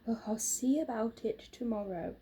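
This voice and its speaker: A woman's voice: on the high side, normal speed, dark tone, a little hiss or hum, close to an everyday voice. A woman voicing a cheerful, practical storybook husband in an upbeat, reassuring way.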